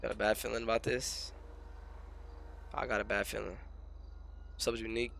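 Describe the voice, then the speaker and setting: A man's voice: on the low side, flat delivery, moderate pace, gravelly, a bit bright, a little hiss or hum. An American man streaming a game with casual, profane, slangy chat, often repeating his phrases. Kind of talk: casual gameplay chat and roleplay.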